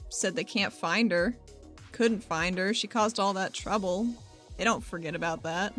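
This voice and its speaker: A woman's voice: medium pitch, unhurried, fairly bright tone, faint music behind, lightly acted. A woman playing a wry, stubborn adventurer in a tabletop game, talking in a casual, slangy way. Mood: determined.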